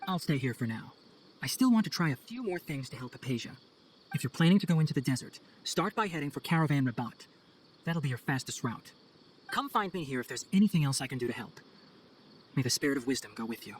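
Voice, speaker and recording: A man's voice: medium pitch, lively intonation, quick pace, slight background noise, close to an everyday voice. A man playing an earnest, pragmatic young forest scholar in a video game, articulate and thoughtful. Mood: reassuring. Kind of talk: video game cutscene voice acting.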